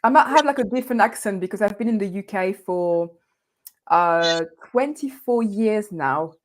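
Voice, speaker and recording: A woman from France with a French accent, a coach speaking as an interview guest, animated and often laughing as she talks. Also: mid-range voice, moderate pace. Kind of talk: conversational interview.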